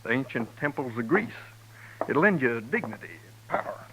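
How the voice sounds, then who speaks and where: A man's voice: mid-range voice, sing-song, normal speed, background noise, close to an everyday voice. An actor playing a fussy, eager-to-please small-town photographer in a 1950s radio drama, showy in his talk.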